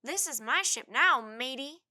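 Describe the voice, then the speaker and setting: A woman puts on a brash, bossy little boy from a storybook, loud and taunting. The voice sits high and bright. He shouts his lines with cheeky swagger. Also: animated, measured pace, full character voice. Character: bold, bossy young boy pirate-player.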